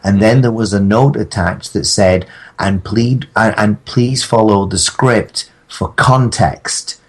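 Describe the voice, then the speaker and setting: A man with a lowish, husky voice talks as a podcast guest. He tells anecdotes casually, circling and pausing as he rambles toward his point. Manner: halting, rambling storyteller.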